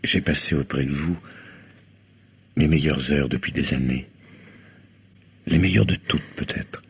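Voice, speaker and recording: An actor in an old French radio drama playing an anguished man devoted to a dying woman, halting and pleading. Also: a bass voice, gravelly, husky, exaggerated voice. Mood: tender, grave. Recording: muffled vintage radio recording.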